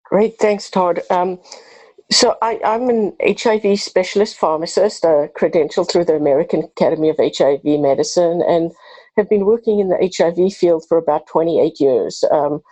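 South african lady pharmacist, 50s, low mellow voice, kinda husky, talks w/ passion, dry sound. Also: normal speed, no room sound, rough recording. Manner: earnest, passionate, with restarts. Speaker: pharmacist and podcast host. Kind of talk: interview answers.

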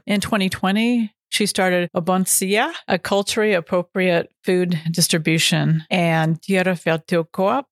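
A woman's voice: lowish voice, no echo, studio quality. A woman hosting a talk podcast, introducing guests and putting questions to them. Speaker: podcast host. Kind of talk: welcoming guests, reading bios.

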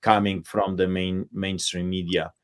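Guest: middle-aged Polish man, strong Polish accent, lowish voice, emphatic and insistent, heard over a video call. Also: little pitch movement, unhurried. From Poland. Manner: forceful, emphatic, with frequent uh fillers and restarts. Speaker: pastor, guest. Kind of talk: impassioned interview talk.

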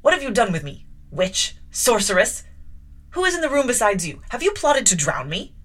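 A woman reading an audiobook, voicing a gruff, imperious middle-aged gentleman who has just been woken. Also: mid-range voice, big pitch swings, fairly quick. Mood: startled, accusing. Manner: blustering, imperious.

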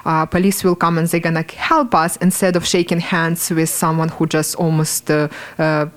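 A woman's voice: low-pitched, little pitch movement. Earnest woman with a Ukrainian accent. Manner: earnest, halting, many fillers and restarts. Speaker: art teacher, guest. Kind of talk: interview answers. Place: podcast studio.

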